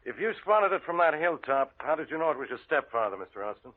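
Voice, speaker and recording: Casting: exasperated police inspector, animated and somewhat high-pitched, old-time radio crime drama. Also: male voice, quick pace. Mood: probing, puzzled. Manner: blunt, exasperated. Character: gruff, irritable police inspector, easily needled. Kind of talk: 1940s radio acting. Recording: muffled, noisy old radio recording.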